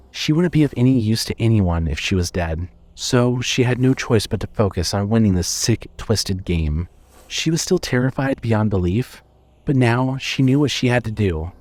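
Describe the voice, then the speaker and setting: A man's voice: lowish voice, darkish tone, no room sound. A man narrating a scripted horror story as the narrator of an audio drama.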